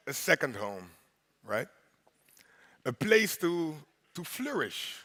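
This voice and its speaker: A deep-voiced male host on a big stage, his gravelly voice bright and forward as it rings through a large hall with a long echo. He speaks in a playful, rhythmic way, teasing the crowd and checking in with them. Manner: playful, rhythmic, crowd-engaging with repeated "right?". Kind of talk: opening speech at a congress.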